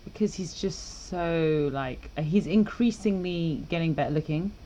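A woman's voice: deep voice, moderate pace, warm tone, slight background noise, low-quality recording. A woman co-hosting a podcast in casual, teasing, opinionated chat. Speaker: podcast co-host.

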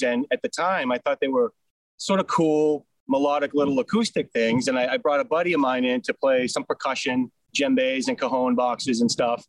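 A man with a Boston accent, a band's singer and rhythm guitarist, telling stories in a relaxed, chatty way during an interview. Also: medium pitch, no echo, low-quality recording. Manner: easygoing, chatty storyteller. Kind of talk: casual storytelling in an interview.

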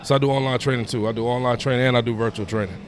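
A man's voice: medium pitch, rapid-fire. A man in his thirties talking casually as a personal trainer being interviewed, informal, often dropping in "man" and "you know". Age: 30s. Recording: clear steady background noise.

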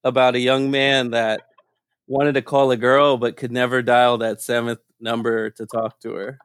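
Host with a dry, close sound. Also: male voice, medium pitch, level tone, measured pace, clean tone, no echo. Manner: playful storyteller, laughs and curses freely. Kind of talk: casual chat.

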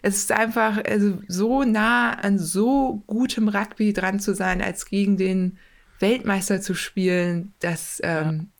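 A woman, a former rugby player and mental coach, chatting casually in an interview, talkative and relaxed with plenty of fillers and self-corrections. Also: mid-range voice, slow pace, creaky.

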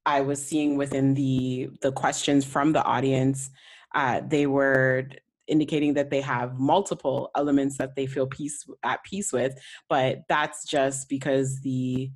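A woman with a notably low voice hosts a podcast interview. She speaks in a laid-back, slangy, conversational way. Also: little pitch movement, a little slow. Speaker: podcast host.

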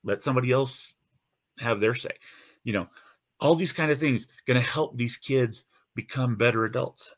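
A man hosting his own podcast in a casual, earnest and encouraging monologue, often restarting his phrases. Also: moderate pace. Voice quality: deep radio-style voice. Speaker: host.

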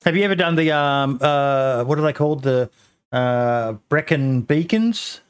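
A man's voice: mid-range voice, unhurried, smooth. A man from Australia hosting a casual podcast chat, talking with many ums and restarts. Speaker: podcast host.

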